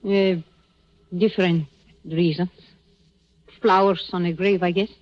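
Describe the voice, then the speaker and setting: A woman's voice: fairly low pitch, steady tone, measured pace, close to an everyday voice. Radio drama role for an actress: hard-boiled woman, Spanish accent, bossy and matter-of-fact, dull vintage broadcast sound. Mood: wistful. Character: tough, streetwise Spanish-speaking woman who hates cops. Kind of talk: 1940s radio acting. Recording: muffled old-time radio recording.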